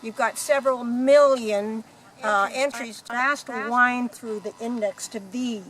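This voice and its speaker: A woman's voice: on the high side, steady tone, takes it slowly, a bit bright, poor audio. A woman playing a breezy, knowing galactic hitchhiker in a radio play, explaining things in a matter-of-fact way. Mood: helpful. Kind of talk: amateur radio play acting.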